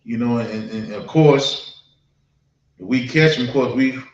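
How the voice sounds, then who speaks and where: A man's voice: medium pitch, darkish tone. Echoey, distant man preaching. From United States. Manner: halting, repetitive, conversational with frequent check-ins. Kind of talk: informal sermon, public address. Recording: dull, echoey room, distant mic.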